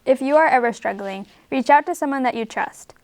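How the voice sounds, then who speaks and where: A woman's voice: high voice, fairly quick, darkish tone, no echo. A teenage girl anchoring a school news show, reading her script earnestly.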